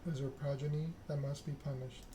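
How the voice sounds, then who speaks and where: A man's voice: a little high, flat delivery, brisk, lightly acted. A man reading the part of a stern, regal female vampire ruler in a formal, solemn way. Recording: clear background noise.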